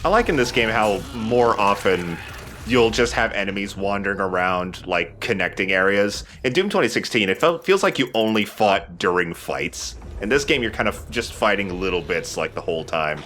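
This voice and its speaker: A man gives casual let's play commentary in a lowish, bright voice. He sounds lively in pitch, with faint noise behind him. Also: moderate pace.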